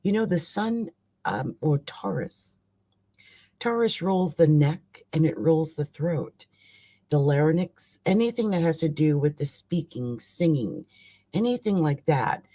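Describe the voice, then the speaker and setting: A woman with a raspy voice chatting casually as the host of a live astrology stream, rambling and conversational with emphatic asides. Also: low-pitched, animated, unhurried, dark tone.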